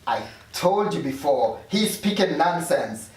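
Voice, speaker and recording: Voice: medium pitch, sing-song, unhurried, darkish tone. A performer at a script table read playing a controlled, weary hospital attendant who cautions others curtly. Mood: stern. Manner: curt, admonishing. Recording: echoey room from far off, noisy.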